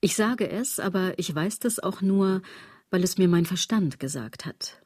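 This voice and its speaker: An actress playing a sardonic, brooding woman in a radio drama monologue, with a husky, theatrical delivery that slips into breathy tones. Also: fairly low pitch, lively intonation, measured pace, darkish tone, dry recording, studio quality. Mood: brooding, ironic. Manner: ironic, theatrical, shifting into breathy whispers. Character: sardonic, brooding woman philosophising bitterly about fashion.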